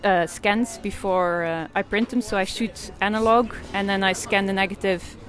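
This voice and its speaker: A woman's voice: mid-range voice, fairly even intonation, moderate pace. A woman in her forties from Belgium, an artist and photographer answering interview questions in a hesitant way, with many fillers and restarts.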